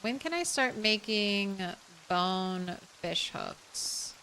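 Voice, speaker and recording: A woman streamer chatting softly and casually with her viewers while playing a game, thanking them as she goes. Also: mid-range voice, very slow, a little hiss or hum, low-quality recording. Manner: soft, relaxed, thanks viewers. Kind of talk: casual live-stream chat while gaming.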